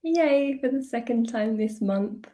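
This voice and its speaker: A woman's voice: on the high side, lively intonation, measured pace, dark tone. A woman coach chatting casually on a live stream, bubbly and laughing often, a bit congested from a cold. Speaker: business coach.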